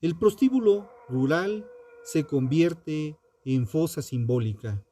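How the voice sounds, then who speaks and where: A man narrates a scripted true crime piece in a solemn, dramatic and deliberate manner. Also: fairly high pitch, expressive, mellow, slight background noise. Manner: solemn, dramatic, deliberate phrasing with rhetorical questions. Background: music.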